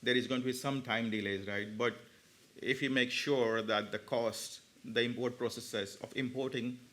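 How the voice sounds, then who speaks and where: A man answering off the cuff as an expert panel speaker, explaining haltingly and often circling back to rephrase. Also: medium pitch, steady tone, fairly bright tone.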